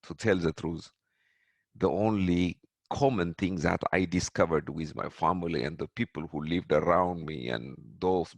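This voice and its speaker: A middle-aged man from Ethiopia, answering interview questions thoughtfully, with many pauses and restarts. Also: deep voice, gravelly, dry recording. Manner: halting, many restarts and fillers. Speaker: guest.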